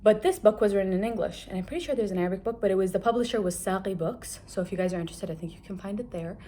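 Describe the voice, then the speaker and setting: A woman's voice: mid-range voice, rapid-fire, mellow. A young adult woman from Kuwait giving a chatty, candid book review, talking casually with lots of filler words.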